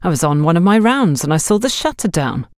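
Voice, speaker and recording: A woman's voice: lowish voice, sing-song, a little fast, no room sound. Lady doing a lazy middle aged security man in an audiobook, dry recording. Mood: inquiring.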